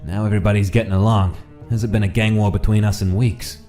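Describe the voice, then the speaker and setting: A tough mafia gangster, played by a man in a comic dub. He speaks in a very low voice, with clear guitar music behind him. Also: level tone, fast talker, close to an everyday voice. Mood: matter-of-fact.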